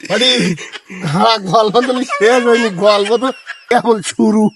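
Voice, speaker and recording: A man playing a compulsive, excitable village storyteller in a comedy skit, insistent and repetitive, with a rural Bangladeshi Bengali accent. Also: sits high, moderate pace. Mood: excitedly storytelling. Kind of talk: comedy skit acting with colloquial dialogue. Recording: clearly noisy recording with clicks.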